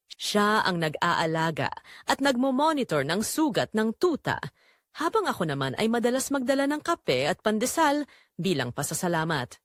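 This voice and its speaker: A woman narrating a scripted first-person romance story in an expressive, sentimental storytelling manner. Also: on the low side, no room sound, clean studio sound.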